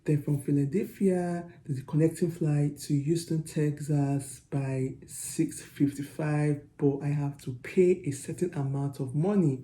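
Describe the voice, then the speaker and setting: A young Nigerian woman with a Nigerian accent, telling a story to her vlog viewers in a chatty, animated way. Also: low-pitched, measured pace, hardly any pauses, reverberant. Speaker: vlogger. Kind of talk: storytelling to camera.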